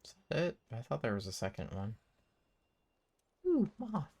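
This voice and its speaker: A video game streamer giving casual, off-the-cuff gameplay commentary in a creaky voice. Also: mid-range voice, huge pitch swings, moderate pace, warm tone.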